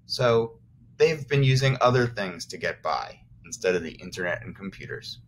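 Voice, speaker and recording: A man with a fairly low voice that swings widely in pitch reads aloud to young children as their teacher. He takes his time and explains things simply and encouragingly. There is some light background noise in the recording. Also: expressive, moderate pace, poor audio. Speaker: first grade teacher.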